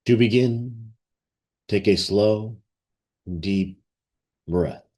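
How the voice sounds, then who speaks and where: A man leading a guided meditation and ceremonial invocation in a solemn, reverent, measured way with long pauses. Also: on the low side, darkish tone.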